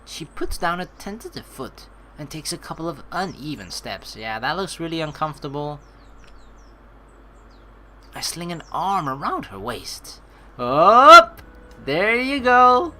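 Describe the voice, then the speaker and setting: Low, lively, bright-voiced woman gamer. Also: unhurried, slight background noise. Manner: animated, playful, blunt and casual. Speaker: let's play streamer. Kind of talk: let's play commentary, reading game text aloud.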